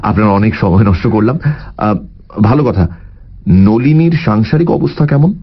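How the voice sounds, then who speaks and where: An actor playing a shrewd, courteous detective who questions thoughtfully in a radio drama. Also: male voice, lowish voice, lively intonation, moderate pace. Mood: polite. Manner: probing, thoughtful, polite. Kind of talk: Bengali radio drama dialogue. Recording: muffled radio audio with faint music.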